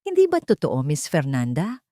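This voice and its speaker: A woman's voice: deep voice, huge pitch swings, normal speed, warm tone, no room sound, clean studio sound. A woman voicing a merciless, cunning old male lawyer who questions in a pointed, accusing way.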